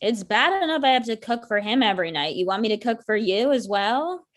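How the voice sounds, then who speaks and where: A woman's voice: a little high, lively intonation, fairly quick, lightly acted. Lady playing sardonic ex in her thirties, dry and pointed, natural comedy dialogue. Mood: resentful. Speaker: actor in a table read.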